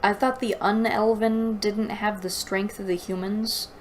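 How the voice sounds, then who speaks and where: Woman reviewer, unhurried delivery, exasperated and incredulous, reading aloud with critical asides. Faint noise behind. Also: mid-range voice, little pitch movement, low-quality recording. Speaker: host of a book review series.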